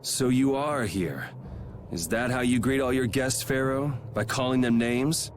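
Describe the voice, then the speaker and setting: A man's voice: medium pitch, a little slow, heavy background noise, lightly acted. An actor playing a defiant, irreverent young swordsman in a fantasy game, casual and sardonic in his delivery. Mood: mocking. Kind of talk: dubbed fantasy game voice acting.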